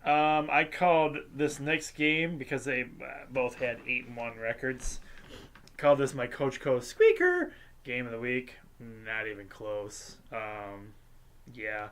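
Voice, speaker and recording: A man's voice: mid-range voice, lively intonation, a bit bright. Congested man reading sports scores. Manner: halting, filler-heavy delivery with light jokes. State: congested, sinusy. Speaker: sports show host. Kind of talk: casual scores recap.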